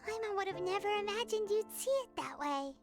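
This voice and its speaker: A woman's voice: animated, brisk. A woman voicing a tiny, childlike, earnest fairy companion in a video game. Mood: earnest, reassuring. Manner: squeaky, childlike, refers to self in third person. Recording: game dialogue over loud tinkling music.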